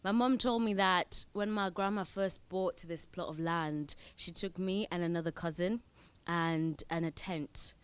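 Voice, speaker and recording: A woman's voice: lowish voice, unhurried, clear, resonant tone. A woman from Zimbabwe who is a spoken word performer, reading her poems on stage with short spoken introductions. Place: indoor event venue.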